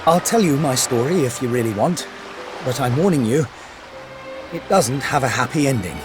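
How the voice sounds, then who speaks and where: A man voicing a glum, worried male blacksmith who speaks slowly and warily, in a video game cutscene. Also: medium pitch, lively intonation, close to an everyday voice. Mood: sorrowful. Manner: glum, wary, slow storytelling. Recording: game audio with loud music behind.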